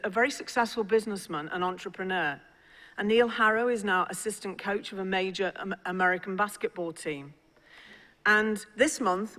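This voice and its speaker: A middle-aged speaker with a Liverpool accent, a senior university official giving a measured, encouraging formal graduation address. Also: medium pitch, fairly bright tone. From England (Liverpool). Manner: measured, encouraging, formal delivery with small restarts. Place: large ceremonial hall. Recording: long hall reverb at ceremony.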